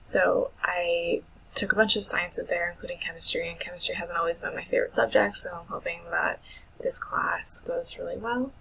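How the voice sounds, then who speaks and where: An American woman in her twenties with a breathy, husky voice, casually introducing herself as a student. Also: fairly low pitch, steady tone, normal speed, sharp tone, rough recording. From United States. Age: 20s.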